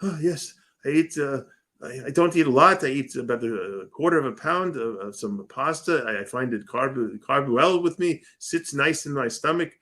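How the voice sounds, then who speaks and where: A man's voice: mid-range voice, sharp tone. A man putting on an insistent bodybuilder. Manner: earnest, insistent, halting repeats. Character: male bodybuilder who is stubborn about his diet.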